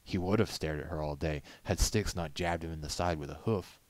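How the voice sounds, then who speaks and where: Man, very low voice, story narrator, scripted storybook reading. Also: fairly quick, dry recording. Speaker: narrator reading a fan fiction story aloud.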